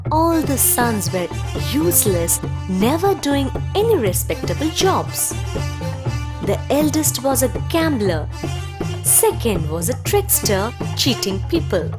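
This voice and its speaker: High, expressive woman storyteller, unhurried. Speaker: narrator. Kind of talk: scripted children's story narration. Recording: music under the voice.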